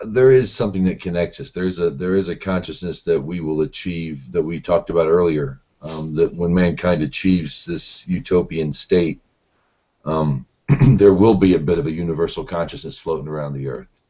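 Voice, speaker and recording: A man's voice: low-pitched, normal speed, warm tone, husky. A man hosting a casual online group discussion, rambling and unsure of himself, with many restarts. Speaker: host. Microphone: video call. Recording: dull lo-fi online call audio.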